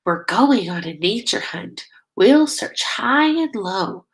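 Slow, expressive woman reading to children. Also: medium pitch, background noise. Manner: expressive, drawn-out key words, prompting children with questions. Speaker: teacher reading a picture book aloud. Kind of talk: children's read-aloud.

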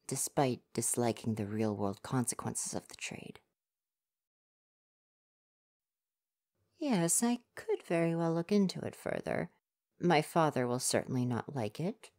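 Low-pitched, flustered young ninja boy for an ASMR roleplay, played by a woman; prickly and defensive, soft-hearted underneath. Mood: wistful.

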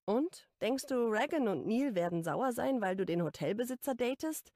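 A woman reads the part of an easygoing young man in a romance audiobook. He talks bluntly and casually, with a teasing brotherly streak. The sound is dry and close, with a gravelly edge. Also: fairly high pitch, animated, moderate pace, mellow, no room sound, pitched above the performer's own voice. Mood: curious, direct.

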